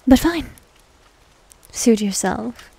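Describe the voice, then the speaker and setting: Woman playing a slow, whispery, coy succubus. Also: on the high side, lively intonation, full character voice. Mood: sulky, teasing. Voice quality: soft, breathy, often whispered. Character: needy, teasing young female succubus demon, coyly bargaining. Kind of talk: ASMR roleplay. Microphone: close microphone.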